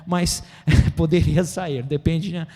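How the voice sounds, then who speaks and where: Brazilian male lecturer, Brazilian Portuguese accent, excitable, keeps checking in with the room; mellow tone. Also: a little high, slow. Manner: animated, gesticulating, excitable; constant "né?" tag questions. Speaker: seminar lecturer. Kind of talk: seminar lecture. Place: auditorium. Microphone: handheld microphone. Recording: reverberant auditorium.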